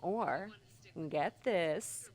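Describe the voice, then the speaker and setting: A woman's voice: fairly low pitch, lively intonation, drawn-out words, full character voice. A woman playing a confident, playful, daring pro wrestler in conversational video game dialogue, with light ambience behind. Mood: persuasive.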